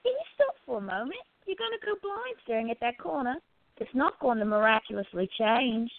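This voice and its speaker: A woman voicing a quiet, curious gallery worker in a radio play, chatty and gently prodding her friend. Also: on the high side, big pitch swings, a little fast, no echo. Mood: coaxing. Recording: tinny, phone-like narrow sound.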